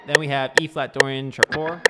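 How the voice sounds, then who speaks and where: A man's voice: medium pitch, level tone, fairly quick. A man teaching guitar on a casual livestream, talking loosely with lots of fillers. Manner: loose, rambling, full of fillers.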